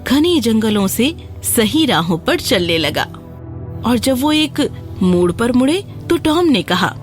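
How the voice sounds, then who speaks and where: Female children's fairy tale narrator, higher register, very expressive intonation, music underneath. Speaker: storyteller. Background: background music.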